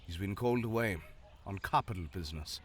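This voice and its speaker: A man's voice: deep voice, brisk, noisy background, lightly acted. An actor playing an easygoing townsman in a fantasy audio drama, with a playful, sardonic manner. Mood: placating. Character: wry, easygoing male townsman, teasing and unbothered. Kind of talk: fantasy audio roleplay acting.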